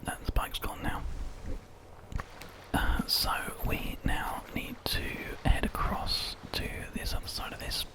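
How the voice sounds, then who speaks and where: British man, breathy whisper, close-miked, gentle rambling ASMR gameplay narration. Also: takes it slowly, poor audio. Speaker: ASMR host and gamer. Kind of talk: ASMR whispered game commentary. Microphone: very close microphone.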